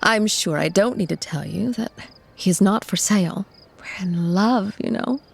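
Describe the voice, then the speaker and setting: A woman playing a gentle, doting vampire who soothes her mortal lover, breathy and creaky, in an ASMR-style audio drama. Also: mid-range voice. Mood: calm, earnest. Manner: tender, soothing, measured. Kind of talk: ASMR audio roleplay acting.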